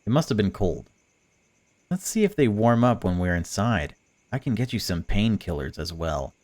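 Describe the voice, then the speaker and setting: A man's voice: lowish voice, big pitch swings, normal speed, darkish tone. A man voicing a female stalker in a visual novel, a chatty, patronising captor who pretends to care. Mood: falsely soothing. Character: cold, mocking female stalker, falsely caring captor. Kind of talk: visual novel dialogue read aloud.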